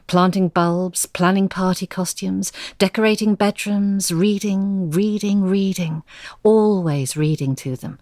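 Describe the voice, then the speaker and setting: A tired, resentful older woman in an audio drama. Her voice is lowish and soft-edged, with measured, reflective phrasing that stays firm. The sound is dry and close. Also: dry recording. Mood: wistful. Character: weary, resentful older mother with thwarted writing dreams.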